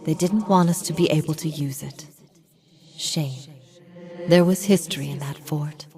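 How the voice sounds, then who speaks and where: An actress playing a grave, steadfast heretic prophet in a fantasy video game, speaking in a solemn, measured, formal way. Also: female voice, low-pitched, mellow, faint music behind. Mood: reflective, earnest. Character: grave, steadfast female heretic prophet haunted by past lives. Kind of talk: dramatic fantasy game voice acting.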